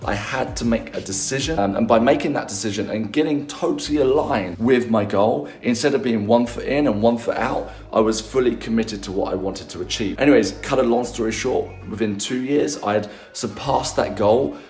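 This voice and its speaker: A man with a hoarse, strained voice giving an upbeat, emphatic motivational tip straight to the viewer. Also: medium pitch, normal speed, hardly any pauses, a little mellow, echoey room, soft background music. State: hoarse, losing his voice. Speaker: business and confidence coach. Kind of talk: motivational daily-tip video.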